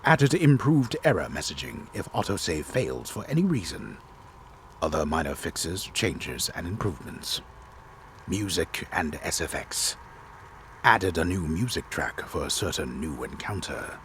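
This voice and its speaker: Casting: grave storyteller for a video game, played by a man, deep bass register, dramatic swooping delivery, solemn and deliberate announcing. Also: very animated, a little hiss or hum, exaggerated voice. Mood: grave, theatrical. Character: disembodied male storyteller, grave and theatrical. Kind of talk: dramatic reading of game patch notes.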